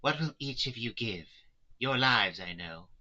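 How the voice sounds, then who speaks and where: An anguished, resolute wife from a gothic storybook as read aloud by a man. The delivery is earnest and pleading, in a very bright, tense voice. The recording is somewhat dull and lo-fi. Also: medium pitch, expressive, measured pace. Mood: grave, insistent.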